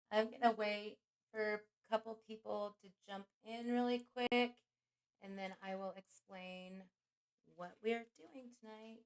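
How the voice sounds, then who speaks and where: A woman hosting a livestream with a scratchy, hoarse voice from a cold, chatting casually and greeting each viewer by name. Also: medium pitch, steady tone, takes it slowly, long pauses. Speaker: livestream host.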